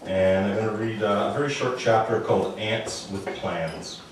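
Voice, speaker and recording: A man giving a live author's reading of first-person prose in a measured, dry storytelling manner. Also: on the low side, steady tone. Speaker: author reading at a literary event. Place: indoor literary party, before an audience. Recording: echoey room, distant mic, faint noise.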